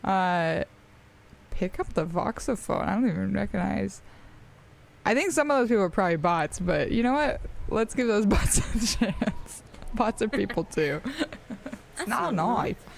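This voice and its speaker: A woman's voice: medium pitch, lively intonation, a little slow, vocal fry, long pauses. A woman in her twenties from Australia, speaking with an American accent and chatting casually on a live stream, rambling with frequent fillers and laughs.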